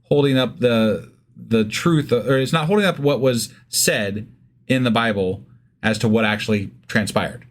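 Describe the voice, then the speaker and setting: A man speaks as a podcast co-host in a medium-pitched voice. His delivery is casual and conversational, with an informal, emphatic way of making a point and no shyness about swearing. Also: measured pace.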